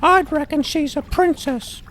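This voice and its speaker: A woman's voice: high voice, unhurried, slight background noise. A woman voicing a timid, gossipy talking owl with rustic, ungrammatical speech in a fantasy audio drama. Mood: suspicious, gossipy.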